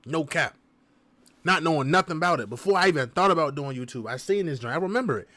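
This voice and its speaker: A man reacting to a video as the vlog's host, talking casually and excitedly to his viewers in heavy slang. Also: on the high side, fast talker, dry recording. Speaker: reaction vlogger.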